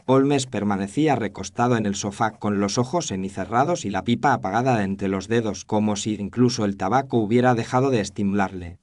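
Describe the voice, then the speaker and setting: A man's voice: fairly low pitch, brisk, hardly any pauses, a little mellow, dry recording. A man narrating a scripted first-person detective story in a formal, literary storytelling manner.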